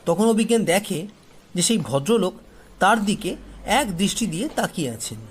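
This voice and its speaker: A man narrating a scripted horror story as a storyteller, delivering it dramatically and deliberately, phrase by phrase. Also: high-pitched, big pitch swings, a little hiss or hum. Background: faint background music.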